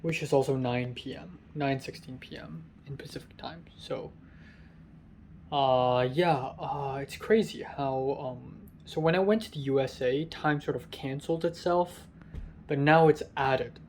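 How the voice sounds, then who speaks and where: A tired young man with a raspy voice, rambling through a casual vlog from a hotel room, halting with many ums. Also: mid-range voice, darkish tone, slight background noise. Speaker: vlogger.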